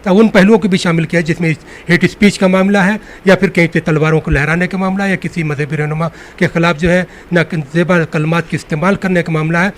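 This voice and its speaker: A man's voice: a little high, fairly even intonation, fairly quick, hardly any pauses. A man reporting from the field for TV news and putting wordy questions to a guest. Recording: clearly noisy location sound.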